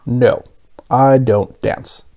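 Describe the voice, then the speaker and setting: A man voicing a mild, kindly, hesitant grown man in a storybook, with gentle, drawn-out phrasing. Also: mid-range voice, steady tone. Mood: reluctant.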